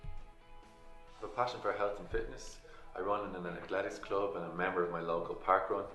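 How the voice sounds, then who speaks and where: Brief: man, late 20s, very deep voice, sincere, roomy sound. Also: steady tone, fairly quick, a bit bright, soft background music. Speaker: employee sharing his story. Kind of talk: scripted testimonial. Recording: roomy video recording.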